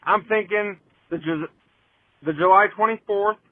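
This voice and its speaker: A man's voice: high-pitched, a little slow, dry recording. A man leaving a casual voicemail for podcast hosts over a dull phone line. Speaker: voicemail caller.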